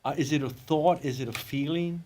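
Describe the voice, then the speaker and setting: A man's voice: on the high side, slight background noise. Man hosting a local talk show. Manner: slow dramatic delivery in the intro, then relaxed questioning. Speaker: host, hypnotherapist.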